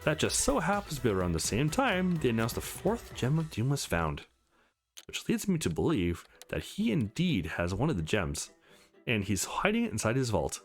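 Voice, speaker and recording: A man's voice: mid-range voice, wildly swooping pitch, normal speed, soft background music. A man voicing an eager, nerdy young woman hacker, playful and cocky, from a visual novel game. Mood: eager, confiding.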